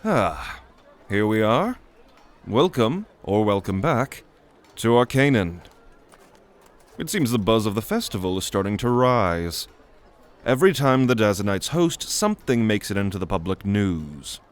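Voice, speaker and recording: A man's voice: on the low side, big pitch swings, unhurried. A man voicing a weary magic teacher in an audio drama, lecturing with a dry, wry manner. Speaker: narrator and teacher figure. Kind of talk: ASMR audio drama roleplay.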